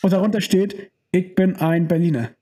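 A man's voice: high voice, fairly even intonation, normal speed, warm tone, slightly rough. A young adult man co-hosting a podcast, chatting casually about films, rambling, joking and often trailing off mid-sentence. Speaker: podcast co-host. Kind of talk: casual film-discussion chat.

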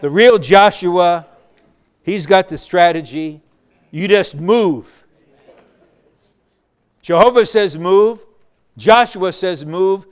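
Slow, clear-voiced male preacher. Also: high-pitched. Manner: measured and emphatic, with bursts of shouting. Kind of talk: sermon.

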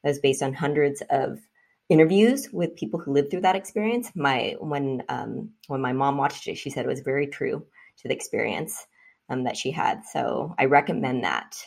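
A woman from Vietnam speaking as an interview guest, reflective and measured, often pausing with fillers. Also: very deep voice, animated, creaky, darkish tone. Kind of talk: conversational interview.

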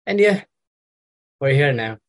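Relaxed man from Nepal, "you know" after every phrase. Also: mid-range voice, slow pace. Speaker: interview guest. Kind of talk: casual conversation. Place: conference room with poor acoustics.

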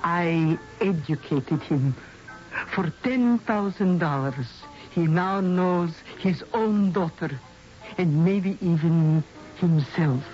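An actress playing a shrewd, self-assured spirit medium in old-time radio drama style. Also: female voice, on the low side, takes it slowly, darkish tone. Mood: calmly self-assured. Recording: dull radio recording, faint music behind.

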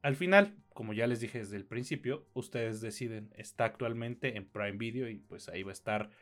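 A man's voice: lowish voice, normal speed, a bit bright, faint music behind. A man with a Mexican accent co-hosting a podcast, reviewing films in a casual, slangy and opinionated way. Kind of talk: casual conversational film review.

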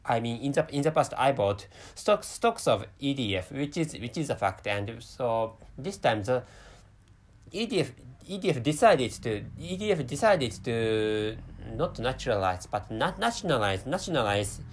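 A man's voice: mid-range voice, big pitch swings, moderate pace. A man with a Japanese accent hosting a solo podcast, talking with a stutter and many restarts. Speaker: podcast host.

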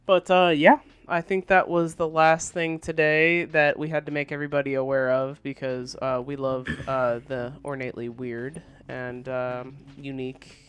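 A man's voice: medium pitch, level tone, normal speed, hardly any pauses, a bit bright, clean tone. A man co-hosting a podcast in casual banter, with a sweary, crudely joking way of talking. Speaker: podcast co-host. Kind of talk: casual nerd-news banter.

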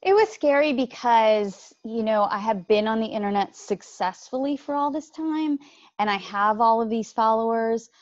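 A woman as a podcast guest, talking about her faith in a lively way and jumping up in pitch when she quotes others. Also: moderate pace, no echo, dull audio. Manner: animated, jumps pitch when quoting others. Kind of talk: faith-based podcast conversation.

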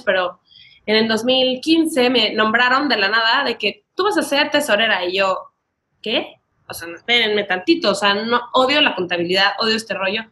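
A woman's voice: a little high, pushed and bright. A woman in her early thirties with a Mexican accent, telling her story casually and animatedly as a podcast guest, often acting out bits of dialogue. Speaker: podcast guest, wedding planner.

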